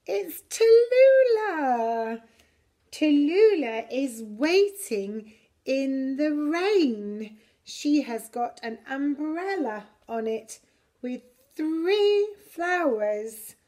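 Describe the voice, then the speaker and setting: A middle-aged woman reading a picture book to young children in an expressive, deliberate way. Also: high voice. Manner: slow, deliberate, expressive delivery for little children. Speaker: preschool story reader. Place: preschool classroom, indoors. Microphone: camera a short distance away.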